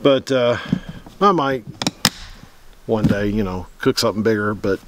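A man, talking casually to camera at a wooded campsite, easygoing and rambling with many pauses and ums. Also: fairly low pitch. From Middle Tennessee. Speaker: outdoors vlogger. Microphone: camera mic at close range. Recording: outdoor recording with clear background noise.

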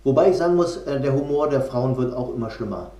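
A man's voice: mid-range voice, expressive, fast, dark tone. A middle-aged man speaking to camera in a jokey, conversational comedy monologue, recorded in an echoey room at home. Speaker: comedian and cabaret artist. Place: small rooms of a flat, indoors.

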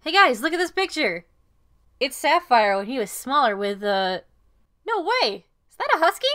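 A woman playing a feisty, clumsy teenage girl in an amateur anime audio drama, brash and expressive. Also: sits high, moderate pace, exaggerated voice. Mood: enthusiastic.

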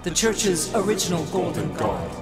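An actor playing a solemn, detached alien hive-mind official with formal speech. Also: male voice, high voice, huge pitch swings, normal speed. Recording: echoing voice under very loud music.

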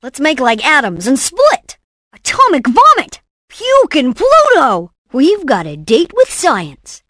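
A woman voicing a brainy, cocky, excitable boy inventor in cartoon game clips, with a theatrical, punchy delivery. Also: sits high, takes it slowly, dry recording, studio quality, full character voice. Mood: excited, then confident. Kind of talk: animated game voice clips, short exclamations and catchphrases.

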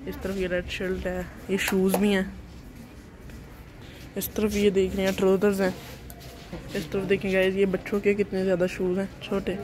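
A young man narrating a casual walking tour, chatting to his viewers as he films on a phone while walking from a parking lot into a shopping mall. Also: high-pitched. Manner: chatty, repeatedly addressing viewers as "guys". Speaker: vlogger. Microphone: phone camera held while walking. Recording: noisy outdoor phone recording. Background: traffic and street noise outdoors.

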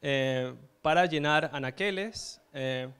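Venezuelan man in his thirties, conference speaker, slightly high, smooth voice, hesitant delivery with frequent fillers. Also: takes it slowly, a bit bright. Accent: Venezuelan Spanish. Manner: hesitant, many fillers and tag questions. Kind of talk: conference talk. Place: stage at an indoor event hall. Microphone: handheld mic.

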